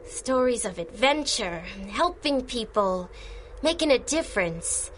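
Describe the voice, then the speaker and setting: A woman's voice: fairly high pitch, lively intonation, unhurried, a little hiss or hum, low-quality recording. A woman playing a confident, playful young time-traveling superhero in a radio drama, earnest with teasing asides. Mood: wistful, teasing.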